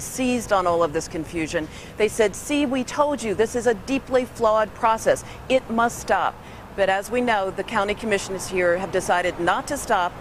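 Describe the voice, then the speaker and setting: A woman's voice: mid-range voice, low-quality recording. A woman working as a television news reporter, explaining events step by step in a live report. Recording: faint ambient background noise.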